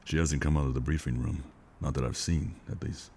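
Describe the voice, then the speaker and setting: A man plays a stoic covert operative and father in an audio drama. His voice is extremely deep, and he speaks with measured, weary resignation. There is faint noise behind him. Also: steady tone, gravelly, mellow. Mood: measured, reassuring.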